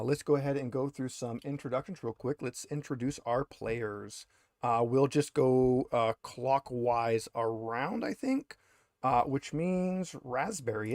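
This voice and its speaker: A man's voice: medium pitch, expressive, unhurried, a little mellow, no room sound, studio quality. A man speaking casually as game master and host, introducing players on a tabletop game stream.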